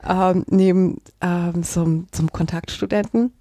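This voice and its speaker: A woman's voice: on the low side, steady tone, normal speed, warm tone, dry recording. A young woman from Hamburg chatting casually in a wry, teasing way. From Hamburg-Harburg, Germany. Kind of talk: casual chat.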